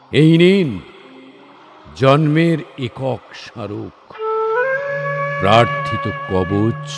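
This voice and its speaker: A man's voice: on the low side, full character voice. A man voicing a proud, weary, doomed warrior prince in a solemn, declamatory recitation of an epic verse drama. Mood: resolute, courteous. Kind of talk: dramatic Bengali poetry recitation with dialogue. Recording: studio voice over background music. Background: background music.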